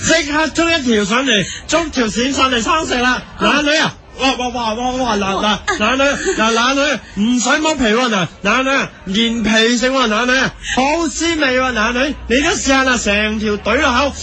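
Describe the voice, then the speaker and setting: Male actor for comic gluttonous food presenter, Hong Kong Cantonese, piercingly high and sharp, over-the-top and repetitive. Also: brisk, few pauses. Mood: excited, greedy. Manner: exaggerated, repetitive verbal tic, eager explaining. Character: gluttonous, self-satisfied TV food show host, a man. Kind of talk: parody radio drama performance.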